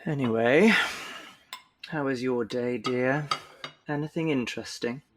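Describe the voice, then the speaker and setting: A man's voice: mid-range voice, measured pace, lightly acted. A man playing a protective, authoritative middle-aged Victorian father, firm and formal, in a period audio drama.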